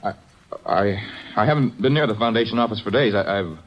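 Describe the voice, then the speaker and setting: A man's voice: fairly low pitch, fairly quick, dull audio, close to an everyday voice. An actor playing a defensive, lovestruck young man in a radio drama, earnest and apt to stammer when pressed. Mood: earnest, flustered. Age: young adult. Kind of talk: old-time radio drama acting.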